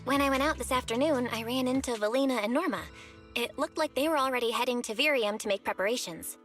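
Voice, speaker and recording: High-voiced caring young game heroine. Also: female voice, fast talker, a bit bright, soft background music. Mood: gentle, reassuring. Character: caring, bossy young woman, a sister figure. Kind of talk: video game voice acting.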